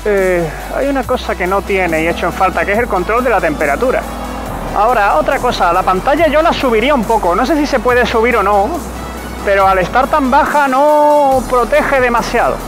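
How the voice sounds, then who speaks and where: A man with a fairly high voice rides a motorbike through city streets, talking casually about the bike and laughing at himself. He is picked up by a helmet camera mic, with clear background music under the talk. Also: lively intonation, moderate pace, rough recording. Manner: chatty, joking, laughs at himself. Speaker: motovlogger. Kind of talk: casual motorcycle review while riding. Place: outdoors riding a motorcycle in city traffic.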